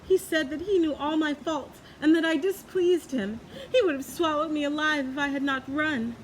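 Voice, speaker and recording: A woman's voice: high voice, normal speed, pitched above the performer's own voice, lightly acted. A woman voicing a hysterical, frightened young village woman from a storybook, pleading and wailing. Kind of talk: storybook character voice. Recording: clearly noisy background.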